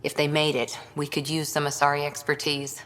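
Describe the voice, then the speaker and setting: A woman's voice: deep voice, completely flat delivery, brisk, a bit bright, in a large hall. A woman playing a guarded, proud female soldier and second-in-command in a video game, speaking in a blunt, dry manner. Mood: determined. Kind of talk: video game dialogue acting.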